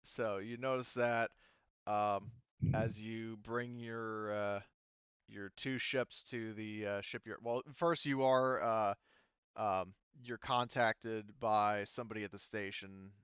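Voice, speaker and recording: A man's voice: on the low side, steady tone, slow, a bit bright, smooth, no echo, highs cut off. A man narrating a tabletop game as game master, rambling with many fillers and restarts.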